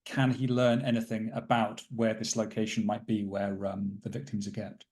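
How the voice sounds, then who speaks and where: A man playing a tabletop roleplaying game, thinking aloud as he narrates what his character does in a thoughtful, deliberate way. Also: lowish voice, flat delivery, darkish tone.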